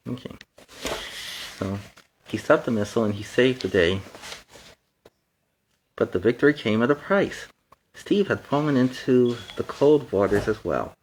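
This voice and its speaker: A man's voice: medium pitch, animated, measured pace, long pauses, darkish tone, a little hiss or hum, low-quality recording. A man in a superhero persona reading a children's storybook aloud, with chatty, playful asides between the passages.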